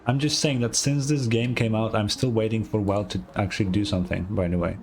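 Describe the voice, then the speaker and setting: A man's voice: on the low side, steady tone, a little fast, a little mellow. A man giving casual, blunt, sweary live commentary as he plays a video game, with music faintly behind. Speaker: streamer reacting to a game.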